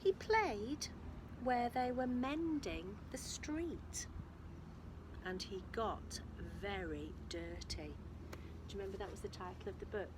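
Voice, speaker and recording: A woman's voice: mid-range voice, slow pace, noisy background. A woman reads a picture book aloud to young children, expressively and emphatically, chatting to her listeners as she goes. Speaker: infant school storyteller.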